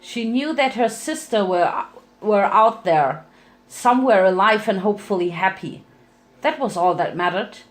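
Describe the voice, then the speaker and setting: A woman reads a story aloud as narrator, in a mid-range voice. Her delivery is dramatic and playful, with a theatrical touch suited to fan fiction. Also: medium pitch.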